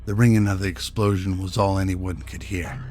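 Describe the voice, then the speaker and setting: A man with a low voice delivers scripted narration for a radio drama. He works as the storyteller, and music plays clearly behind his words. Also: steady tone, moderate pace.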